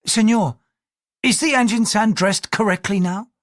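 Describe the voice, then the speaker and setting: A man's voice: sits high, unhurried, no room sound, studio quality, pitched above the performer's own voice. A man voicing a formal, polite Japanese noblewoman who speaks with strained courtesy.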